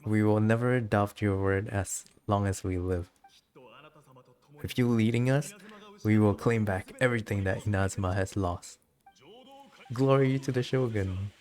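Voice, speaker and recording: A man reads the part of a devoted samurai soldier in a fantasy game. The voice is low, with earnest, formal phrasing and a steadfast temper. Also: steady tone, unhurried, long pauses, darkish tone, close to an everyday voice. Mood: resolute.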